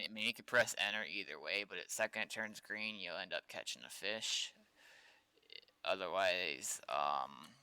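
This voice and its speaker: Man, low-pitched, very sharp bright tone, informal coding streamer, thinking aloud, noisy recording with keyboard clicks. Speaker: game developer streaming live. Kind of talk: casual live coding stream, thinking aloud and chatting with viewers. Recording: clear noise, keyboard clicks. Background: computer keyboard typing and clicking.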